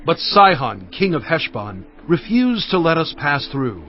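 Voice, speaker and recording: A man's voice: on the high side, big pitch swings, highs cut off, slight background noise. A man playing an elderly leader solemnly recounting his people's journey in a dramatized scripture reading. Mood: grave, recounting. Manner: solemn, deliberate storytelling.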